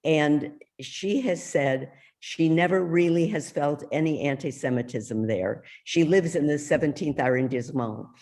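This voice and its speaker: An audience member on a video call asking a question haltingly, with many pauses. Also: medium pitch, darkish tone.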